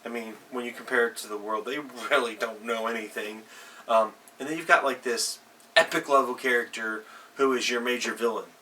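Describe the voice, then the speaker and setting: Male host, bright, forceful voice, informal unscripted advice delivery, emphatic and conversational, recorded a little away from the mic. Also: medium pitch, steady tone, fairly quick. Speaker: host of a tabletop role-playing advice show. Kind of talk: casual unscripted advice talk. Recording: distant mic, faint background noise.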